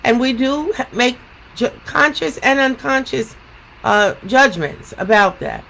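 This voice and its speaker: A woman educator speaking in a deliberate, emphatic way, as in a read-aloud storytime and reflective talk. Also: sits high, expressive, vocal fry, fairly bright tone, slight background noise, rough recording. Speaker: educator reading a picture book aloud.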